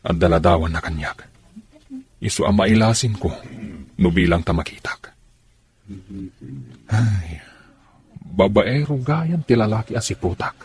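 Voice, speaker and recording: A man acting a wary hired neighbour in a radio drama, with a husky voice and an Ilocano accent. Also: on the low side, animated, measured pace, pauses often, darkish tone. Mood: watchful. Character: wary hired male neighbour doing investigative errands.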